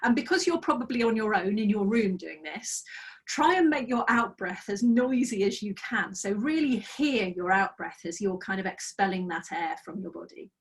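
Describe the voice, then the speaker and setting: A middle-aged woman leading a guided mindfulness practice over a video call, speaking slowly and soothingly with gentle pauses, her voice slightly croaky. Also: medium pitch, animated, far from the mic. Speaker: mindfulness trainer. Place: small office with bookshelves, indoors. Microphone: laptop webcam on a video call.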